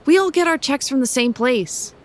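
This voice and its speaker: A teasing wrestler fiancée. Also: female voice, sits high, expressive, moderate pace. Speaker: fellow wrestler on the rival brand.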